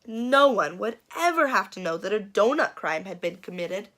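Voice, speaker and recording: A woman reading a picture book aloud for children in an expressive, dramatic and playful way, building suspense. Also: mid-range voice, moderate pace. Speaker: children's storyteller.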